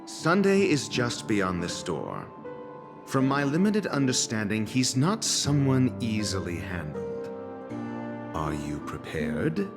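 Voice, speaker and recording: A man's voice: lowish voice, huge pitch swings, slow pace, exaggerated voice. A man playing a composed, haughty scholar in a video game, speaking formally with dry, skeptical condescension. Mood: dry warning. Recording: game music under the voice. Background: game music.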